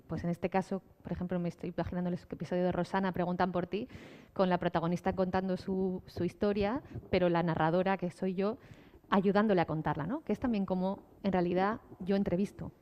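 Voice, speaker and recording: A woman's voice: fairly low pitch, lo-fi sound, slight background noise. A woman speaking reflectively and conversationally on a panel, often adding little tag questions. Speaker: panelist, writer and audio storyteller. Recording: reverberant hall at live event.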